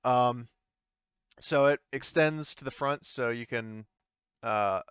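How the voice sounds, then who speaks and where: A man acting as game master, casually narrating a tabletop game and often pausing to think aloud. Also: mid-range voice, a bit bright, clear, resonant tone, no room sound, highs cut off.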